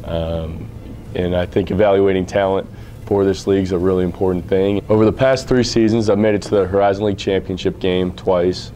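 An American man in his thirties giving an interview as a basketball coach, measured and earnest. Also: sits low, steady tone, mellow, a little hiss or hum. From Illinois, United States. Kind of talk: on-camera interview about his career. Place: indoor athletics office, seated before camera.

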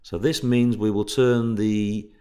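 A man with a low voice presents technical training material. He sounds like an instructor talking over slides, explaining things one step at a time in informal, practical language. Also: little pitch movement, takes it slowly, mellow, clean tone. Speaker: workshop instructor.

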